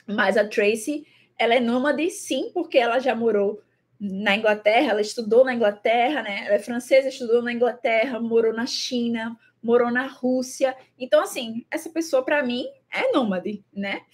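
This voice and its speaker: Brazilian woman, young adult, Brazilian Portuguese accent, fairly high, slightly breathy, thoughtful vlog chat. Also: moderate pace. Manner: thoughtful, repeats words, many fillers. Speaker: traveling vlogger. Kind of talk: casual talk to camera.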